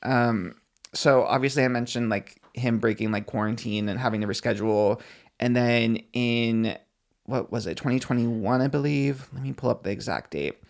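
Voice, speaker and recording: A man's voice: medium pitch, normal speed, creaky. A man co-hosting a podcast, casually recapping celebrity news in a gossipy, slangy, conversational way. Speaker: podcast co-host.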